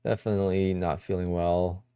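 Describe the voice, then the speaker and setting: A man's voice: low voice, flat delivery, moderate pace, warm tone, clear, resonant tone, no echo, studio quality. A man from New Jersey hosting a day trading livestream, chatting casually and rambling as he answers questions from his chat.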